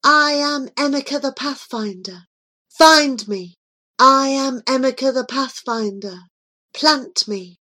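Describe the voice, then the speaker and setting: A woman voicing an enchanted boy spirit whispering from under the earth, hushed and quiet. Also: high voice, slow, pitched above the performer's own voice, heavily acted.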